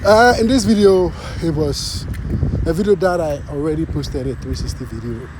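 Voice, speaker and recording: A man's voice: a little high, normal speed, background noise. A man from Ghana talking casually to his channel's viewers, full of fillers, often checking with "you understand?". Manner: animated, repeats "you understand", many fillers. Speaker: vlogger. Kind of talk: casual talk to camera.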